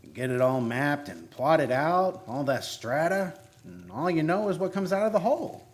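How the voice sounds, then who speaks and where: A man's voice: a little high, sing-song, normal speed. An American man playing a skinny, nervous, sheepish oil field geologist in a radio play, speaking hesitantly with stammers. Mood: musing, casual.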